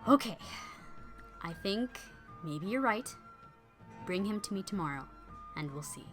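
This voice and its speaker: A woman's voice: mid-range voice, expressive, unhurried, music in the background, natural voice. Haughty widowed noblewoman, done by a woman narrator: indignant, imperious. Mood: relenting. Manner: indignant, commanding. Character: proud, grieving noblewoman, quick to rage.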